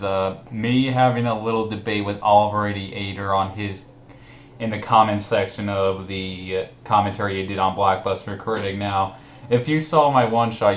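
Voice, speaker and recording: A man hosting a casual group commentary chat, talking informally with friends. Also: on the low side. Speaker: host. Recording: roomy echo with some background noise.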